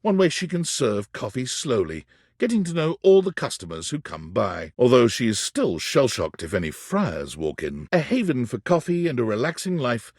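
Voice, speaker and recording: A man's voice: mid-range voice, very animated, moderate pace, darkish tone, breathy edge, no room sound, studio quality. A man narrating a quirky romance video game in a dramatic, descriptive storytelling manner. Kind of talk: scripted game narration, second person.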